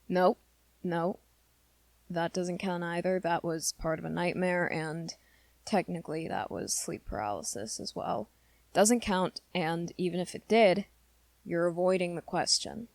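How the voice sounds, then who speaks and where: A woman plays an awkward teenage werewolf boy with a low voice full of gravelly creak. He talks haltingly and earnestly, close to the listener as in an ASMR roleplay. His short temper is held back in favour of gentleness, and the sound is dry and intimate. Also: dry recording. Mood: flustered, insistent. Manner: hesitant, halting, rambling confession to listener.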